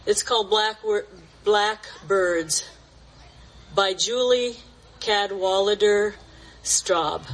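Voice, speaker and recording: Slow, measured woman in her seventies. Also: medium pitch, fairly bright tone, low-quality recording. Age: 70s. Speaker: church member sharing reflection. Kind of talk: reflective sharing and poem reading. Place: outdoor church gathering.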